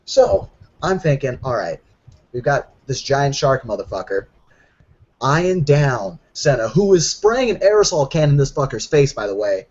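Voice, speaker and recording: A man's voice: medium pitch, sing-song, unhurried, a little mellow, highs cut off. A man playing a brash werewolf telling a battle story in a casual, profane, rambling and boastful way. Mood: excited bragging. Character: brash young male werewolf storyteller bragging about a fight. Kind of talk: in-character tabletop roleplay storytelling.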